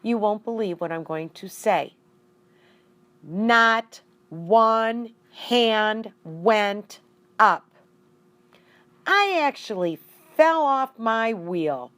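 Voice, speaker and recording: A woman voicing an earnest, excitable young male pet hamster in a children's story, dramatic and emphatic. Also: on the high side, extremely slow, no room sound, voice pushed up, exaggerated voice. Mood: astonished. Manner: dramatic, emphatic, repeating words for effect.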